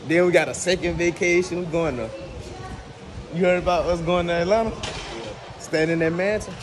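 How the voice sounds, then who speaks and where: Animated young man vlogging. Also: moderate pace. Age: young adult. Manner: slangy, upbeat and emphatic. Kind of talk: casual vlog chat. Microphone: phone or action camera at arm's length. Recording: noisy handheld camera audio with ambient rumble.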